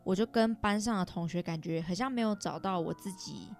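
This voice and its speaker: A woman's voice: fairly low pitch, fairly quick, faint music behind. A woman in her twenties with a Taiwanese Mandarin accent, telling personal stories casually and self-deprecatingly as a podcast guest. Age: 20s.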